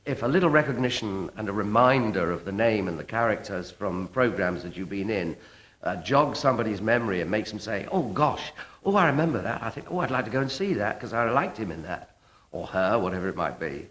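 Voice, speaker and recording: A man's voice: on the low side, lively intonation, fairly quick, a bit bright. A man, an actor, talking reflectively in an interview.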